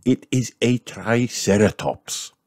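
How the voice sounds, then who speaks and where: A man's voice: on the low side, expressive, slow pace, darkish tone, no echo, studio quality, voice pushed up. A man voicing a cheerful grown male rabbit who announces things formally in a storybook reading. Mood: proud.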